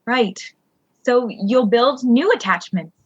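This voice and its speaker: A woman playing a gentle, encouraging but easily flustered mentor in an audio drama, speaking in a halting, reassuring way. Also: a little high, lively intonation, husky, dry recording, lo-fi sound, full character voice.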